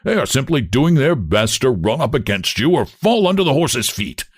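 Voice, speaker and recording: A man's voice: mid-range voice, very animated, moderate pace, breathy edge, no echo, studio quality, voice pushed up. Man playing brusque officer, curt commands, plain voice.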